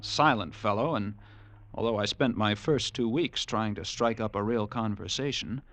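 An actor playing a lighthouse keeper narrating a sea tale in a measured, vivid, dramatic radio-drama style. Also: male voice, fairly low pitch, fairly bright tone. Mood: wry. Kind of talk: classic radio drama first-person narration.

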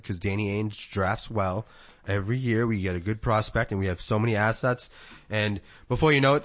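A man, a podcast co-host in loose, rambling sports chat who laughs often. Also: on the low side.

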